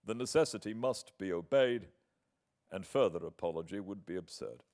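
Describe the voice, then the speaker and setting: A British man reading in the part of a proud, wounded young gentleman in a classic novel, formal, measured and emphatic. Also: low-pitched, lively intonation. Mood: measured, defensive. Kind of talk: dramatic audiobook reading.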